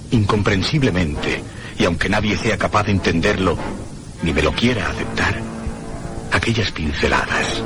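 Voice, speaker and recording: A man playing a haunted traveller who narrates a radio mystery drama in a solemn, deliberate, suspenseful way. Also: low voice. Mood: mysterious, awed. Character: haunted one-armed male traveller recounting mysteries, solemn, troubled. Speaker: narrator and protagonist.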